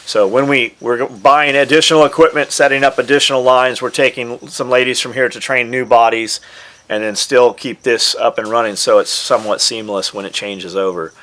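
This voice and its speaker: A man's voice: mid-range voice, steady tone, moderate pace, a bit bright. A man who owns a business, chatting offhandedly and with casual swearing as he tells its story. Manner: offhand, profane. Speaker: gear maker and business owner. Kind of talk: casual storytelling about his company.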